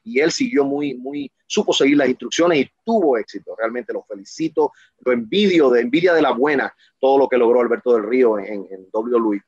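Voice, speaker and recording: A man's voice: medium pitch, expressive, brisk, darkish tone, husky, no room sound, highs cut off. Passionate, emphatic man in an interview. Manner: passionate, emphatic, rambling with restarts. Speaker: guest, professional wrestler. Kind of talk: casual interview talk.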